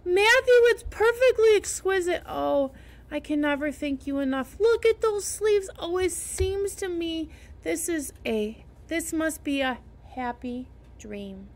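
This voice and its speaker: A woman's voice: very high voice, animated, a little slow, slight background noise, pitched above the performer's own voice, full character voice. A woman voicing a dreamy, gushing young orphan girl who talks in breathless, rapturous exclamations. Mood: overjoyed.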